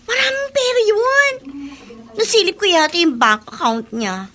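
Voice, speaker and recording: A woman's voice: very high voice, huge pitch swings, a little slow, bright, forceful tone, slight background noise, exaggerated voice. A woman playing a spoiled, scheming teenage daughter in Filipino radio drama, sassy and smug, with a Filipino accent. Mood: brazen, scheming. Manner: sassy, smug, self-justifying.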